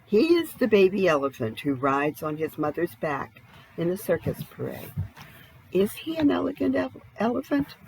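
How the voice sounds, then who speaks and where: A woman's voice: on the low side, sing-song, moderate pace, vocal fry, darkish tone, a little hiss or hum, rough recording. A woman narrating a children's storybook expressively, with a dramatic read-aloud delivery. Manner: dramatic, asks the listener questions. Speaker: storybook reader.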